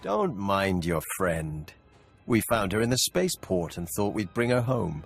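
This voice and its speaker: An actor playing a sinister, taunting captor in a video game, with a gravelly, husky voice. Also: male voice, low voice, very animated, measured pace, exaggerated voice. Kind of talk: video game cutscene acting. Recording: game audio over music.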